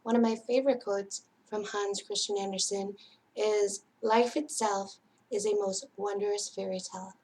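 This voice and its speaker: A woman's voice: mid-range voice, steady tone, normal speed, darkish tone. A woman hosting a story time for young children, speaking in a sing-song way directly to them as a storyteller. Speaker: children's library storyteller and host.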